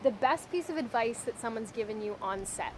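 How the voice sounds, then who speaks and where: A woman in her thirties asks interview questions in a friendly, chatty way. Her voice sits a little high. Faint noise hangs behind her. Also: animated. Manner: friendly, chatty, quick to agree. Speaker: interviewer and co-star. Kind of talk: casual interview chat.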